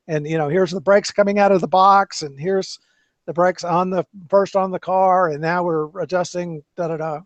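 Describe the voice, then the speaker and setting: High-voiced man rambling in casual chat. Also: dry recording. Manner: rambling, many restarts and fillers. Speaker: podcast host. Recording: dull lo-fi dry sound.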